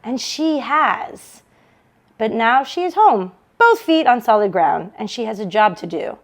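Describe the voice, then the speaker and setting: A woman hosting a book club read-along, reading a novel aloud to an online audience with expressive delivery. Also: a little high, measured pace.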